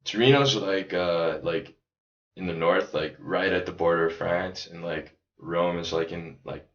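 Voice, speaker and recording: An American man from Chicago talking casually as a podcast guest, in a loose, halting way peppered with "like". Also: deep voice. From Chicago, United States. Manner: loose, halting, heavy use of "like". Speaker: podcast guest, independent filmmaker. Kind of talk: casual conversational chat. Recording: echoey room, dull lo-fi audio.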